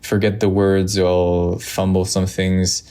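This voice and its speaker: A man's voice: deep voice, flat delivery, a little slow, mellow, smooth. A man from Montreal whose first language is French, speaking with a Quebec French accent. He muses to camera in a loose, reflective way, with many ums and restarts. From Montreal, Canada. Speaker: vlogger. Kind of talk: reflective casual talk to camera.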